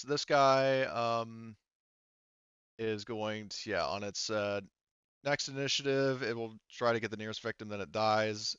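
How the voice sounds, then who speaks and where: Male game master, smooth low voice, casual and halting, slightly dull close recording. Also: fairly bright tone, no room sound. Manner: halting, thinks aloud while checking rules. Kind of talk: running a tabletop roleplaying game, casual.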